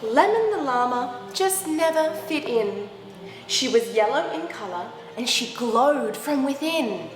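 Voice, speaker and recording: A woman reading a picture book aloud to young children, expressive, with animated, exaggerated reactions and asides. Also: sits high. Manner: animated, exaggerated reactions with gasps and drawn-out words. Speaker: children's storyteller. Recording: roomy echoing hall sound, background music. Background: music.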